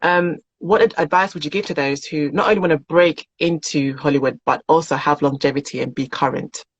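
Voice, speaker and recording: Male host, live interview, gravelly, slightly high, supportive, dry muffled sound. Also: fairly even intonation, fairly quick, dry recording. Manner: encouraging, lots of affirming replies.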